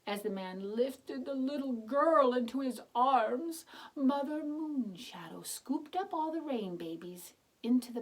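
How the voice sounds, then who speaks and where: Woman narrator for children's read-aloud; expressive, simple, explanatory, voice slightly husky. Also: high voice, poor audio. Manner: expressive, explains words and pictures, asks gentle questions. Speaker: children's storybook reader.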